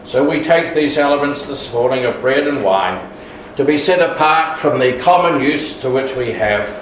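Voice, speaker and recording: A man leading a communion service, speaking quietly and solemnly in prayer without a microphone. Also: mid-range voice, lively intonation, moderate pace. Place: large echoey church without a mic. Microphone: distant room recording. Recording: far-off voice in an echoey hall with noise.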